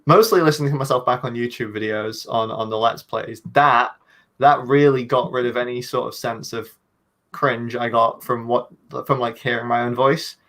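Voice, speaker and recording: A man's voice: medium pitch, fairly even intonation, moderate pace, fairly bright tone. A man video creator chatting casually on a panel, with a slightly nasal voice, stumbling over restarts and joking at his own expense. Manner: rambling, stumbling restarts, self-deprecating. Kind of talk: casual online panel chat.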